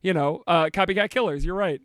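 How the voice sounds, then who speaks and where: High-voiced man, joking podcast host. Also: fast, no room sound, clean studio sound. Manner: swears often, jokey, laughs a lot. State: losing his voice. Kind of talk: casual podcast chat.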